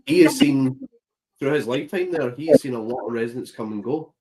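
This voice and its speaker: A man's voice: mid-range voice, a little fast, over a noisy crowd, poor audio. A man, a paranormal investigator guest, explaining his theory in a thoughtful way and often saying "you know". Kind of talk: casual chat.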